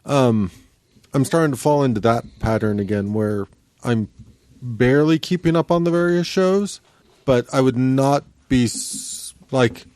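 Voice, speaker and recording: A man co-hosting a podcast in casual panel chat, explaining his views in a measured, qualifying way. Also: medium pitch, a little mellow, dry recording. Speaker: podcast co-host.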